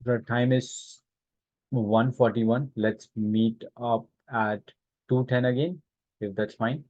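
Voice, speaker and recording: A man teaching a live online software lesson informally, haltingly thinking aloud as he demonstrates. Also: medium pitch, fairly even intonation. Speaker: instructor. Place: online class call with screen sharing. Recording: roomy, dull lo-fi online-call audio.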